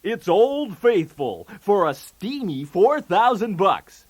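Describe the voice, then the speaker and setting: A man's voice: sits high, very animated, slow, heavily acted. A man playing a brash, wisecracking 1980s TV game show host with showy, punchy patter. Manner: showy, jokey, with punchy delivery of gags.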